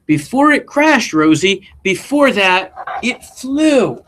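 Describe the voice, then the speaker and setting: A man voicing an exuberant, encouraging elderly great-great-aunt from a storybook, with excited emphatic delivery. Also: high-pitched, slow pace, slight background noise, voice pushed up, heavily acted.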